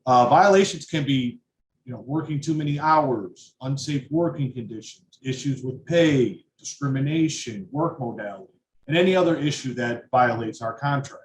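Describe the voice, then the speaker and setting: A man's voice: medium pitch, lively intonation, normal speed. A young man, a PhD student and union grievance chair, giving a firm prepared speech with some uh fillers. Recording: roomy with faint noise.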